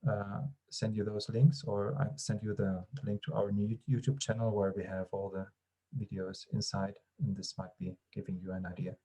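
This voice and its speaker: Husky, low-pitched man explaining. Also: mellow. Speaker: project coordinator presenting. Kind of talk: informal explanatory panel answer.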